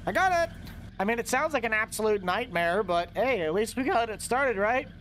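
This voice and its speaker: A man giving casual, chatty commentary over his gameplay, talking straight to his viewers with excitable outbursts. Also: sits high, normal speed, a little hiss or hum, rough recording. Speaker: gameplay video host.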